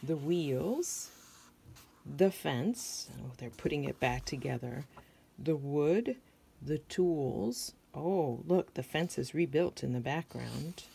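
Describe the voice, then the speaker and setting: A woman's voice: low-pitched, expressive, slow pace, vocal fry, warm tone, a little hiss or hum. A middle-aged American woman reading a picture book aloud to children, adding simple questions and observations as she goes. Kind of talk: read-aloud storytelling with asides to young listeners.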